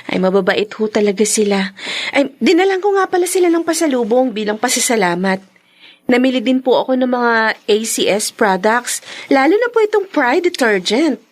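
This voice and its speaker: An actress playing a meek, sweet young housemaid and devoted daughter in a radio drama, timid and very polite, with a Filipino accent. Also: female voice, on the high side, big pitch swings, normal speed. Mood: cheerful, respectful. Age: young adult. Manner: soft-hearted, timid, very polite.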